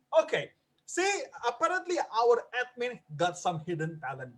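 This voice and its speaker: A man hosting a live stand-up comedy open mic in an excitable, animated way. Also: very high-pitched, takes it slowly. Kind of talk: live comedy show emceeing.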